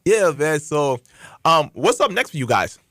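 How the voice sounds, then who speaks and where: A man chatting casually as a podcast host, animated and opinionated, with slangy phrasing. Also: fairly high pitch, a little slow, dry recording. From Cuban background. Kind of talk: casual group chat. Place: community media studio.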